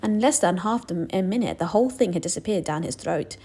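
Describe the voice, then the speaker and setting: A woman narrating a children's book aloud in an expressive, deliberate storytelling style. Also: on the low side, slightly rough.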